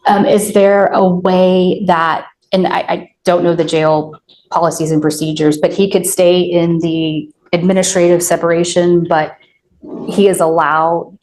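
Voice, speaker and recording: An American woman arguing as a defense attorney in court, measured and deferential, with hesitant phrasing. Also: lowish voice, little pitch movement, a little mellow, rough recording. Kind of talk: formal courtroom argument.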